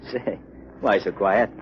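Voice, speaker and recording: An actor playing a suave, resolute gentleman crime fighter in a 1930s radio drama on an old recording. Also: lowish voice, muffled recording, a little hiss or hum.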